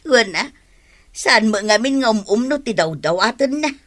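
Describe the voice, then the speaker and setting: A woman's voice: a little high, expressive, moderate pace, fairly bright tone. A woman with an Ilocano accent playing a stingy, argumentative village wife who complains in a comedy radio drama. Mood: dismissive. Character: stingy, grumbling village wife, hard to persuade.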